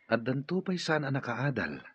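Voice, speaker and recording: A man's voice: medium pitch, big pitch swings, normal speed, a little hiss or hum, lightly acted. An actor playing an authoritative, decisive businessman giving orders in an Ilocano radio drama. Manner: commanding. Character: authoritative wealthy male businessman boss, ailing and decisive. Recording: dull sound with music underneath.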